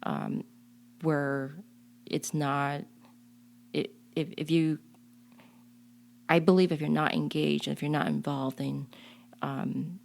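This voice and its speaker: A woman's voice: low voice, flat delivery, slow pace, vocal fry, long pauses, darkish tone. A woman raised in Chicago, speaking earnestly and reflectively as an interview guest, often restarting her sentences. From born in a refugee camp on the Cambodia-Thailand border, raised in Chicago. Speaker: guest, community leader. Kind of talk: interview conversation.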